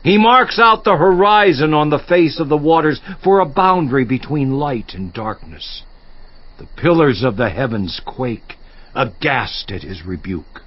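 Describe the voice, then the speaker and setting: A man's voice: fairly high pitch, no echo. An actor playing an anguished, defiant righteous man in a theatrical, emphatic scripture monologue. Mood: awed. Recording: slightly muffled audio.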